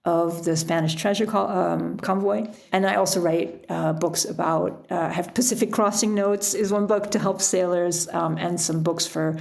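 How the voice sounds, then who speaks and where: A woman talking casually as an interview guest, telling stories in an animated way with asides. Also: lowish voice, moderate pace, creaky, few pauses. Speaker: podcast guest, author.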